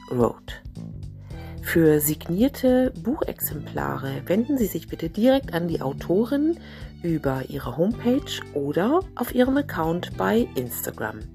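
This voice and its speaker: Light plucked guitar plays behind a woman reading a scripted announcement. Her voice is mellow and on the low side, with noticeable creak and a slow, measured delivery. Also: very animated. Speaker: outro announcer. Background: plucked guitar music.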